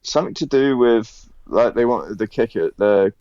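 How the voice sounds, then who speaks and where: A man's voice: medium pitch, animated, normal speed, warm tone. A man co-hosting a casual gaming podcast, giving wry, grumbling opinions. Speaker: podcast co-host. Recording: dull lo-fi mic, dry.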